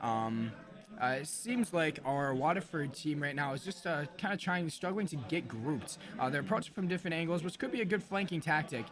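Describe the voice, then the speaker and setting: A slightly hoarse man hosting a live esports stream, commentating casually with informal, joking banter. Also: a little high, animated, a little fast, a crowd in the background.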